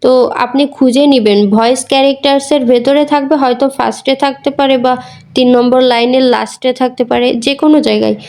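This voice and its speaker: A woman's voice: high voice, fairly even intonation, fast talker, vocal fry, a little mellow, smooth. A woman giving a casual, instructional how-to voice-over, mixing in English words. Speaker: tutorial creator.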